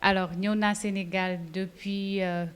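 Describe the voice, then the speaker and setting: A young woman from Senegal, a model, chatting in a relaxed interview with frequent fillers. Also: medium pitch, unhurried, a little hiss or hum. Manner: chatty, laughs easily, hesitant fillers. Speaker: fashion model, interview guest. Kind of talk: casual interview, mixing Wolof and French.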